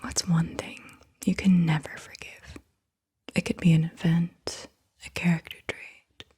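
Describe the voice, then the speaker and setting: A woman speaking in a soft, breathy whisper, gently reading out questions in an ASMR style. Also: fairly low pitch, little pitch movement, measured pace. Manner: hushed, gentle delivery. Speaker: ASMR host. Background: computer keyboard typing.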